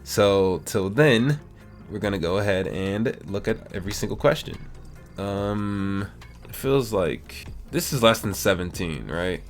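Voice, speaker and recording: A man's voice: on the low side, expressive, unhurried, faint music behind. A man in his twenties casually answering viewer questions about a mobile game, rambling and slangy. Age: 20s. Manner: laid-back, rambling, slangy. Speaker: gaming YouTuber. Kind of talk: casual Q&A chat to camera.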